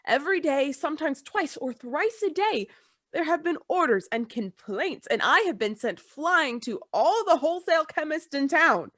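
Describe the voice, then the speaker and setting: A woman's voice: sits high, fairly bright tone, dry recording, studio quality, heavily acted. A woman playing a frightened, loyal and deferential old household servant in a Gothic radio play. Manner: deferential, agitated, emphatic.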